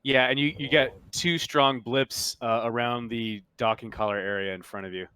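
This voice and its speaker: A man's voice: medium pitch, fairly even intonation, moderate pace, bright, forceful tone, clear, resonant tone. A man running a tabletop horror game, narrating off the cuff and searching for words as he describes the scene. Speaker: game master.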